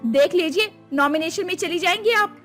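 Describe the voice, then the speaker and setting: Woman voicing young daughter-in-law, high pitch, bossy, dramatic, commanding, animated storybook drama, music underneath. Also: normal speed. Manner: theatrical, commanding, sprinkles English words.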